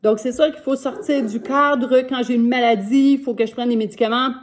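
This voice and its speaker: A woman in her sixties with a Québécois French accent, giving a gentle, earnest self-help talk to camera from home as a life coach. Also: fairly high pitch, steady tone, fairly quick. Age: 60s.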